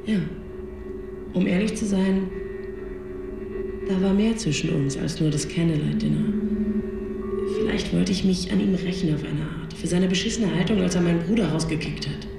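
A woman's voice: fairly low pitch, normal speed, vocal fry, pauses often, background noise. An actress playing a guarded, self-searching woman with wounded pride in a German radio drama, speaking reflective standard German. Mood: confessional. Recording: roomy echo with music underneath.

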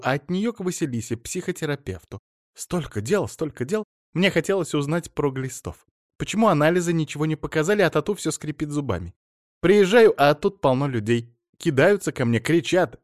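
A man's voice: a little high, no echo, clean studio sound, pitched above the performer's own voice, close to an everyday voice. A man putting on a flighty chattering wife. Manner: fast, breathless chatter. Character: excitable young-sounding wife, chattering and flighty.

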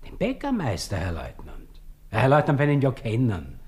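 An actor playing a servile, gossipy coffeehouse waiter with a husky voice and a Viennese accent in a radio drama. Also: male voice, mid-range voice, huge pitch swings, normal speed, darkish tone. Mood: eager gossip. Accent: Austrian, Viennese. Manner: obsequious, chatty, sing-song deference.

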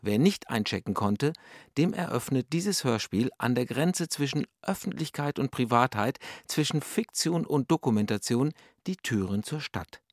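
A man's voice: mid-range voice, lively intonation, moderate pace, dry recording, studio quality. A man reading a scripted introduction to a radio play as an announcer.

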